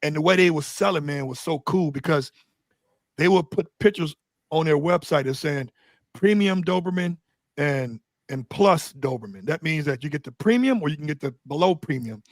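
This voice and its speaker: A man with a fairly high voice tells stories in a relaxed, blunt way, full of slang. His voice is dry and close, with no room in it. He sounds like a guest in a casual sit-down interview. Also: normal speed, dry recording. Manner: loose, streetwise storytelling with blunt slang. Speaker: interview guest, Doberman breeder.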